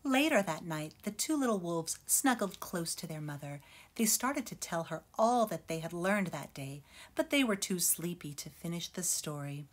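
Teacher reading aloud: woman, gentle and expressive, slightly low, breathy tone. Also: moderate pace. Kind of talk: storybook read-aloud.

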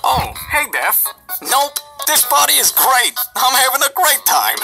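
Man acting a bright, touchy skeleton. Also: very high voice, very animated, unhurried, gravelly, soft background music, heavily acted. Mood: defiant, cheerful. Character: defiant, touchy, bleeding male skeleton party host. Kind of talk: comic dub voice acting.

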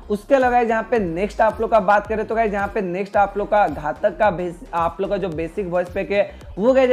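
A man's voice: high-pitched, darkish tone. A man with an Indian accent doing a casual gaming news voice-over as a YouTube host, repeatedly addressing his listeners. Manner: repetitive, addresses listeners constantly as friends. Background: background music.

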